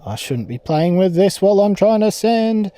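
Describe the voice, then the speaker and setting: A man's voice: sits high, sing-song, moderate pace. An Australian man rambling casually and self-deprecatingly as he vlogs outdoors while working on a task. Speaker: vlogger and amateur radio operator. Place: outdoors, portable radio setup. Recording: faint background noise with clicks.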